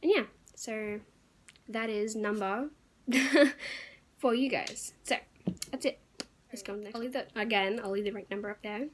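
A young woman at a mid-range pitch, chatting to her viewers in a loose, rambling way. Her voice is wearing out and cracks now and then, and she fills the gaps with "um" and little laughs. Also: a little slow, long pauses, a little hiss or hum. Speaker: crafting YouTuber. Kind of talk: casual show-and-tell vlog.

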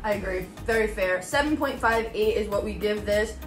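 A woman's voice: mid-range voice, moderate pace. A woman in her twenties chatting casually to camera as a vlog host, with slangy, giggly talk. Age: 20s. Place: home kitchen and dining room. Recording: echoey kitchen with music behind.

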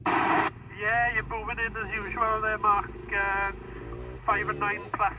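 A man's voice: high voice, flat delivery, slow pace, muffled recording. A man chatting casually as a CB operator, heard over a radio. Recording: distant CB radio speaker with loud static.

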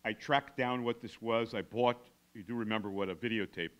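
A man's voice: lowish voice, steady tone, fairly quick, clean tone. Elderly American man telling a story. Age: about 80. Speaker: keynote speaker. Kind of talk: storytelling keynote speech. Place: large classroom before a seated audience. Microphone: podium microphone.

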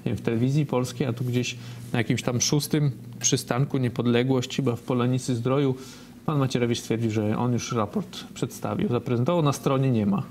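A man's voice: medium pitch, brisk, darkish tone, a little hiss or hum. A man in his thirties hosting a political talk show in a TV studio, chatting casually and skeptically. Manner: casual, skeptical, interjects often. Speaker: show host.

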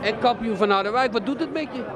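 A man's voice: very high voice, fast talker, over loud music, a loud crowd. A male reporter asking questions in a chatty, encouraging way inside a bowling centre. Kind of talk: casual interview questions.

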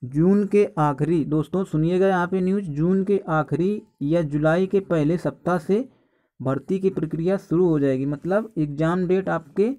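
A man's voice: on the high side, mellow. A man giving informal exam guidance as a coaching teacher, instructive and often addressing his students as friends.

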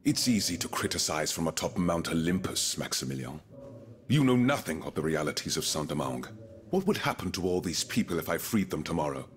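A man's voice: low-pitched, very animated, brisk. A man playing a proud, earnest, wealthy colonial reformer in a period game drama, speaking formally and eloquently, with gravity. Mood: indignant, proud.

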